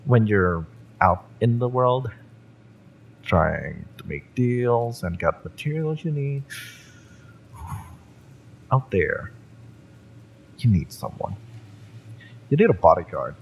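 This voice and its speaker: A man playing a fiery, spurned mercenary ex-bodyguard in an audio roleplay, mocking and drawling with menacing intimacy and dramatic pauses. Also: lowish voice, big pitch swings, creaky, muffled recording, a little hiss or hum, full character voice. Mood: scolding, taunting. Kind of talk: scripted audio roleplay addressed to the listener.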